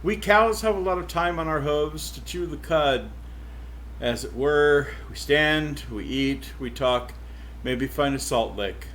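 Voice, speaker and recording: A man's voice: fairly high pitch, lively intonation, a little slow, fairly bright tone, slight background noise, pitched above the performer's own voice. A man reading aloud as a witty, digressive female cow narrating her story. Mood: wry.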